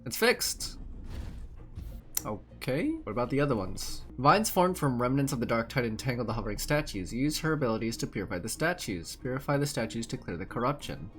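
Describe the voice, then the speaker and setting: A man's voice: medium pitch, lively intonation, fairly quick, fairly bright tone. A man giving casual, playful gaming commentary, reacting expressively as he plays. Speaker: gaming streamer. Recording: game music behind commentary.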